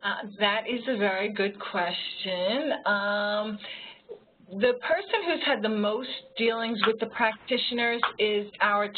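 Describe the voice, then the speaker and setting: Woman panelist on a noisy phone line. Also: on the high side. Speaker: panelist, home health professional. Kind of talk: answering audience questions informally. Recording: phone-like line with faint noise.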